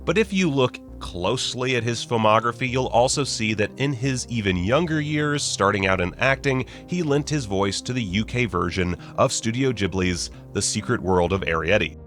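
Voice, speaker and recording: Wry low-voiced man hosting over music. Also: fairly bright tone. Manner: wry, sarcastic, jokey mock-casual delivery. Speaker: video host. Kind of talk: scripted list-video narration with joking asides.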